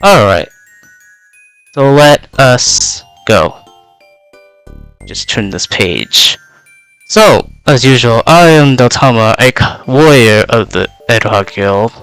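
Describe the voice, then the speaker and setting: A young man whose first language is Vietnamese introduces himself as a VTuber in a loose, rambling way, leaning on filler words as he goes. His voice sits at a middle pitch and comes through smooth and resonant, though it sounds slightly worn out. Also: lively intonation, slow, long pauses, fairly bright tone, no echo, faint music behind. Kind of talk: casual in-character self-introduction.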